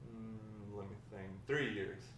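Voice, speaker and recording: A man playing a grandfather who fondly recounts his wild, lovestruck youth in a halting table read. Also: on the low side, steady tone, fairly bright tone. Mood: reminiscing. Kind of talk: table read of a dramatic script. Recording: echoey room, distant mic, faint noise.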